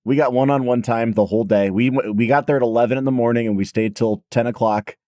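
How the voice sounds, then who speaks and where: A man in his thirties hosting a podcast in casual chat, his voice hoarse and raspy, telling stories in a chatty, self-deprecating way. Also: mid-range voice, fairly even intonation, fast talker, warm tone, no room sound, studio quality. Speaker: podcast host.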